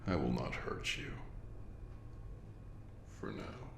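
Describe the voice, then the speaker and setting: A man voicing a courtly, menacing, obsessive plague doctor creature in an audio roleplay, speaking in a slow, formal, breathy murmur with a gravelly edge. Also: close to an everyday voice. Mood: softly reassuring. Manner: slow, formal, old-fashioned diction, softly menacing. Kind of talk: audio roleplay monologue addressed to the listener. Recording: roomy echo with faint background noise.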